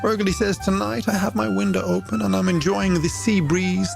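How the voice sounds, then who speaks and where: A man's voice: a little high, gravelly. A man presenting a late-night music radio show in playful, theatrical, florid patter from a studio. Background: music bed under the voice.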